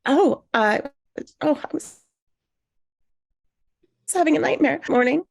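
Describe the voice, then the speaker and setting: A woman's voice: high-pitched, lively intonation, a little slow, creaky, no room sound, clean studio sound, pitched above the performer's own voice, full character voice. A woman playing an eager, insecure woman in her mid-thirties with a hesitant manner, in a screenplay table read. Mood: flustered, coy. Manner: hesitant, overeager.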